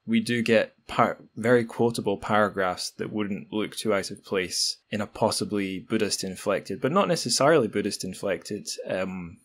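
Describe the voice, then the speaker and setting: A man hosting a podcast in a casual interview style, talking in a discursive way and often correcting himself. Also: lowish voice. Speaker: podcast host.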